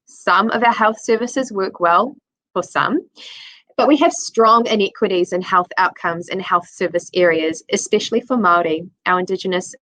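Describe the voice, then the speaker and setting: Female presenter, middle-aged, New Zealand accent, mid-range pitch, delivering a prepared professional talk. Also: moderate pace, fairly bright tone, no room sound, poor audio. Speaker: conference presenter, health service designer.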